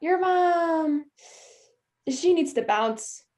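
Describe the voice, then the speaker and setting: This is a young woman playing a spoiled, snide college girl in a student play. Her voice sits very high. She talks with sarcasm and open insolence. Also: animated, extremely slow, darkish tone, full character voice. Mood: snide, dismissive. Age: young adult. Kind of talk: stage acting in a student one-act play.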